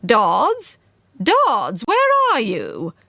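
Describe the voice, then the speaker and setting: A woman's voice: on the low side, animated, moderate pace, a bit bright, no room sound. A haughty upper-class older lady, domineering and imperious in every order she gives, as a woman reader puts her on. Her speech is commanding and clipped, in a dry close recording.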